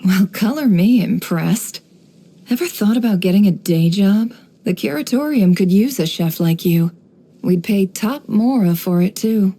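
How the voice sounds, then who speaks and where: A woman voicing a cool, shrewd, guarded young woman in a video game, composed and wry, with measured, knowing delivery. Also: mid-range voice, lively intonation, mellow. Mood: impressed, teasing. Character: cool, shrewd, guarded young desert-born woman running an information business. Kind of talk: scripted game character voice lines.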